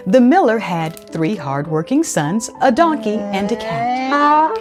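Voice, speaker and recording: A woman hosting a children's read-aloud, speaking in a deliberate, clearly phrased storytelling style. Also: fairly high pitch, animated, over music.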